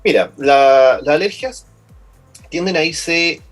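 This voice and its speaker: A man's voice: on the high side, animated, slow, soft background music. A man with a Chilean accent, a lung doctor as a guest, explaining at length and often repeating words as he finds his phrasing. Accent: Chilean Spanish. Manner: patient, repeats words while finding phrasing. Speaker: pulmonologist guest. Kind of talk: explanatory interview answers.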